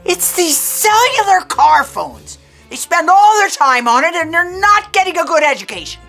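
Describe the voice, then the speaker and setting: A shrill, fussy schoolteacher who cannot stand phones. Her voice sits very high and swoops around as she scolds and mocks. Music plays softly underneath. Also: sing-song, normal speed, full character voice. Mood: scolding. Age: middle-aged. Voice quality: scolding, mocking. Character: strict, cranky woman schoolteacher who hates phones. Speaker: teacher. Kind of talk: scripted comedy skit acting. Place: staged classroom set. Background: music bed.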